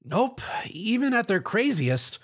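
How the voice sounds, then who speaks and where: A man's voice: high-pitched, very animated, slow pace, voice pushed up, heavily acted. A man voicing an eager, earnest young boy dragon in a storybook reading.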